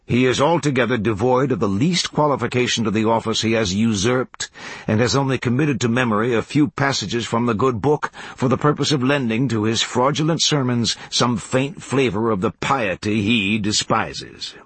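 A man voicing an enormous bald accuser in a Western story, serene and grandiloquent, speaking in a formal, deliberate, oratorical manner. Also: medium pitch, breathy edge, no echo, close to an everyday voice. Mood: solemn, declamatory.

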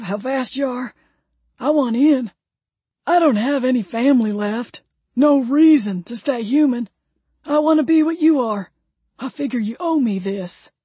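A woman's voice: high voice, mellow, breathy edge, no room sound, voice pushed up. A woman voicing a brash, vengeful redneck man who demands to become a vampire, in an audiobook story.

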